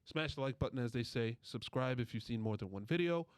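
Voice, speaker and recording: A man hosts a sports podcast in a casual, bantering style. His pitch sits in the middle for a male voice. The sound is dry, with very little room in it. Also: animated, brisk, clean tone, dry recording. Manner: playful, bantering. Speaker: podcast host.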